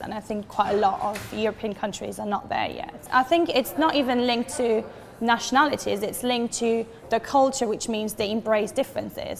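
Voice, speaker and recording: A young woman from Switzerland in her twenties gives interview answers with earnest enthusiasm. Her voice sits at a middle pitch. It trails a long reverb, as if recorded in a big room. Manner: earnest and enthusiastic, emphatic with "so" and "literally". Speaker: interviewee, advertising professional. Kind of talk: talking-head interview answers.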